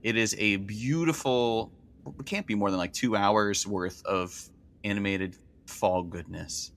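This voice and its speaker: A man's voice: on the low side, a little slow, a bit bright, a little hiss or hum. A man chatting casually as a podcast guest, with a playful, joking manner. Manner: playful, jokey. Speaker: recurring podcast guest, toy designer.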